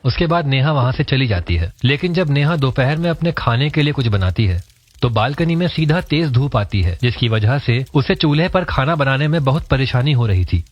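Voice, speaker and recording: A man's voice: mid-range voice, expressive, a little fast, no echo. A man narrating a scripted story. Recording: dull, lo-fi voice track.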